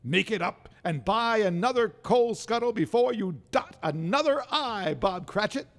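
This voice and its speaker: Old miser turned merry, lively swooping pitch, gravelly, theatrical, radio drama. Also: male voice, unhurried, exaggerated voice. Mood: giddy joy. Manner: theatrical, exclamatory, chuckling. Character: reformed old miser employer, gleeful and mock-stern.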